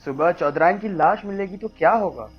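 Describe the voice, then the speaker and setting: A man's voice: high voice, fairly quick, slightly rough. A man playing an uneasy, questioning village henchman in an audio play. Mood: wary. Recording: dull lo-fi sound, faint music.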